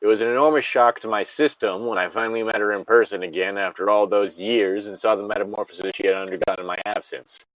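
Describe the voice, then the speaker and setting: A man's voice: fairly low pitch, fairly even intonation, quick pace, few pauses, fairly bright tone, no echo. A man reading a story aloud in a casual style as a co-host, with bantering asides. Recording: dull lo-fi close recording.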